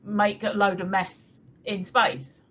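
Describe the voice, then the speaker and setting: A woman's voice: medium pitch, flat delivery, slow pace, fairly bright tone. A woman in her fifties co-hosting a casual livestream chat, blunt, with hesitant starts and mild swearing. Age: 50s. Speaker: livestream co-host. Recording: dull lo-fi audio with faint noise.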